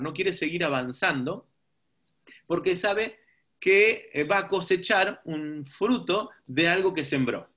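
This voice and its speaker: A man's voice: high-pitched, pushed and bright. A man giving an informal teaching talk to a group, reflective and often checking in with rhetorical questions. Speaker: seminar teacher.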